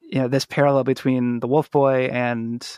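A man's voice: medium pitch, little pitch movement, moderate pace, a little mellow, clean tone, no echo. Male podcast host, mid-range voice, conversational and reflective, with a close, dry recording. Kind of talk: casual interview conversation.

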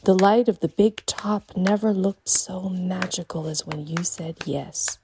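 A woman's voice: fairly low pitch, unhurried, noisy background. A woman voicing a devoted, romantic circus wolf boy in a short story, speaking in florid, effusive phrases. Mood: adoring. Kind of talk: audiobook character dialogue.

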